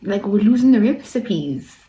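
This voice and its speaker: A woman's voice: mid-range voice, expressive, unhurried, dark tone. A woman hosting a video essay in a conversational way, with playful asides, repeated words for emphasis and some passages read aloud. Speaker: online video host.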